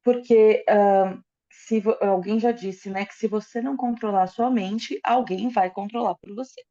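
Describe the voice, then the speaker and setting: A woman interprets a lecture sentence by sentence, filling gaps with hesitations and quick restarts. Her voice is dark and mellow, and the recording sounds somewhat dull and muffled. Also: mid-range voice, fairly even intonation. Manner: hesitant, with fillers and self-corrections. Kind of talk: consecutive interpreting into Portuguese.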